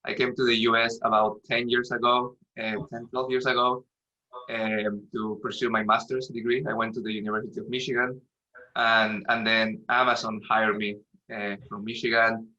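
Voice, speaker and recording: A man in his thirties with a Peruvian Spanish accent, introducing himself as a panelist in a halting way that repeats words. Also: mid-range voice, little pitch movement, sharp tone, muffled recording. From Peru. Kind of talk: professional self-introduction.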